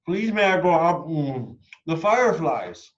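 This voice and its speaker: A man voicing an eager young child in a storybook, excited and calling out. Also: on the high side, a little slow, highs cut off, pitched above the performer's own voice. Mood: pleading.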